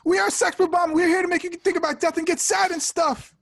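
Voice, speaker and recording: A woman playing an earnest, easily flustered young male rock bassist in a table read. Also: level tone, a little fast, vocal fry. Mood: brash. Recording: noisy home mic with clicks.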